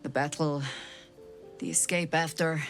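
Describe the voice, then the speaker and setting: A woman's voice: low voice, fairly even intonation, unhurried, fairly bright tone, soft background music. An actress playing a weary, wounded female companion in a video game, wry and guarded with understated delivery.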